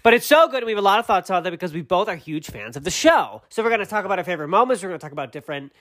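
Man, podcast host, thirties, a bit congested, rambling chatty talk, rapid, slightly high. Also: expressive, a bit bright. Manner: chatty, rambling, many asides and fillers. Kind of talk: casual podcast chat.

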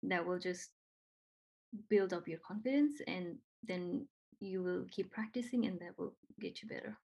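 A woman's voice: on the low side, measured pace, gravelly, darkish tone, slightly rough. A woman from Nepal who speaks English with a Nepali accent, talking earnestly and encouragingly with frequent ums and repeated phrases. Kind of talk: casual conversation.

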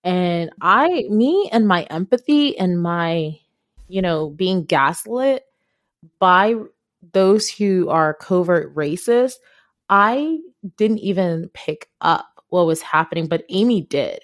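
A woman hosts a conversational podcast in a voice pitched a little lower than most. She tells stories casually, leaning on her points with emphasis and talking directly to the listener. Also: lively intonation, slow. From Ghana. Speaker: podcast host.

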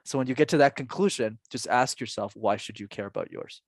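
A man's voice: medium pitch, a little fast, dry recording, clean studio sound. A man in his twenties, a public speaking coach answering interview questions in an earnest, emphatic way, full of rhetorical questions. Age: 20s.

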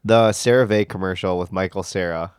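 A man's voice: sits low, normal speed, clean tone, no room sound. A man co-hosting a podcast in casual chat, sounding stuffed up, laid-back and wry. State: stuffed up, congested. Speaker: podcast co-host.

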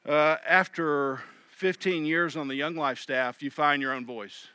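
Middle-aged male pastor, chatty sermon delivery, bright tone, dry close mic. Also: a little high, fairly even intonation, a little slow, smooth, no echo. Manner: conversational preaching with quoted inner voices.

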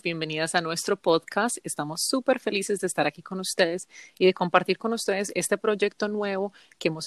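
Colombian woman in her 30s chatting on a podcast, kinda low voice, dry sound. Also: no room sound. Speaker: podcast co-host. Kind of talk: casual conversational podcast intro.

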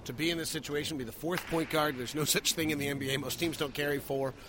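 A man's voice: a little high, steady tone, fast, background noise. A man hosting a sports post-game podcast, breaking down the night's play as a broadcaster would. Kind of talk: post-game analysis and commentary.

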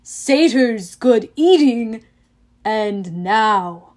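A woman voicing a booming, boastful Cyclops giant. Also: lively intonation, darkish tone. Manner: broken clipped grammar, slow and booming. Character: huge proud one-eyed male Cyclops, boastful and menacing. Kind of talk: audiobook character reading.

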